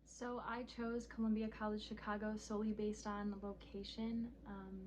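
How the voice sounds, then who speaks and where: A young woman telling her own story in a personal documentary, earnest and quietly sad, then interviewing others. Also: mid-range voice, flat delivery, moderate pace, a little hiss or hum. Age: young adult. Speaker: student filmmaker and interviewer.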